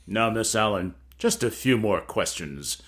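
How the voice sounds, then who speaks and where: A man's voice: lowish voice, lively intonation, measured pace, fairly bright tone, husky, heavily acted. A man playing a brash, persistent reporter in 1940s-style radio comedy, asking formal questions insistently.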